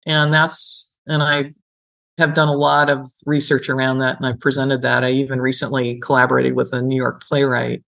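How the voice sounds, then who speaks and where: A woman's voice: rumbling low, flat delivery, moderate pace, creaky, fairly bright tone. A middle-aged woman talking conversationally as an interviewed academic, explaining reflectively and often checking in with the listener. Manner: reflective, explanatory, checks in with "right?" and "you know". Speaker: gerontology professor being interviewed. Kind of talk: conversational interview, personal storytelling.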